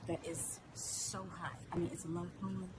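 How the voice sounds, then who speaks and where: A woman's voice: fairly low pitch, flat delivery, normal speed, close to an everyday voice. An actress playing a brash, loose-tongued female friend in a screen drama, sassy, slangy and profane. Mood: brash, playful. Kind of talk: naturalistic screen drama acting. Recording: noisy ambience around the voice.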